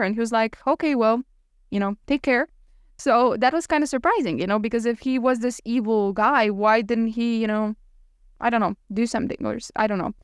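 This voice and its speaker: A woman with a fairly high, smooth voice talks as a podcast co-host in a casual book chat. She is animated and opinionated, and swears freely. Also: no room sound, studio quality.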